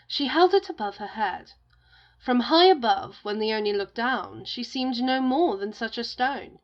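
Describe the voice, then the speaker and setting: Female storybook reciter played by a woman: solemn, rhythmic, measured delivery, recording a little muffled. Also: fairly high pitch. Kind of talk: scripted storybook narration. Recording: slightly dull, muffled audio.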